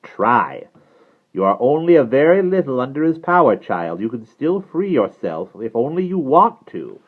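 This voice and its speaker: A man's voice: mid-range voice, sing-song, moderate pace, phone-quality sound, pitched above the performer's own voice. Firm, grave male guardian acted by a man. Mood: urgent warning. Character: stern male guardian, protective, grave, calmly urging. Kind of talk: dramatic audiobook-style character reading.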